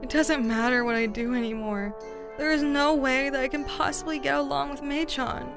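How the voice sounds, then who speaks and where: A woman's voice: sits high, moderate pace, over music, natural voice. A woman playing a childish, ditzy, sulky grown woman in a manga dub, whiny and dramatic. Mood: sulky despair. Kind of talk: exaggerated anime-style manga dub acting.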